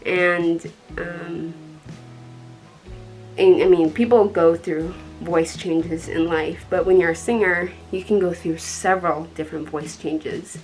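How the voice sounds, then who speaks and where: A young woman from Colorado with a dark tone, chatting casually to the camera in a rambling way, with many ums and soft laughs. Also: on the low side, fairly even intonation, slow pace, soft background music. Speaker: vlogger.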